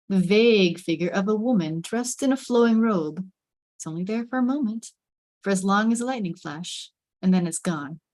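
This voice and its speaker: A woman's voice: medium pitch, darkish tone, no echo. A woman reading stage directions as dramatic scripted narration, with suspenseful, emphatic phrasing.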